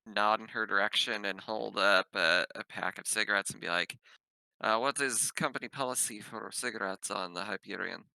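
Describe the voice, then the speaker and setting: A man's voice: fairly low pitch, moderate pace, vocal fry, bright, forceful tone, dry recording, low-quality recording. A man playing a tabletop roleplaying game, slipping casually between character lines and jokey, conversational table chat.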